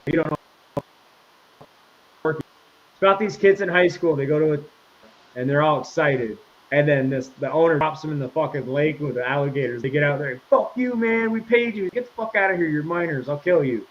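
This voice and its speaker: A man's voice: on the high side, moderate pace. Brief: twenties male host, teasing, profane, muffled lo-fi line. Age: 20s. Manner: excitable, swearing, teasing. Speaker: podcast host. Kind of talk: casual profane chat. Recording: muffled with faint hiss.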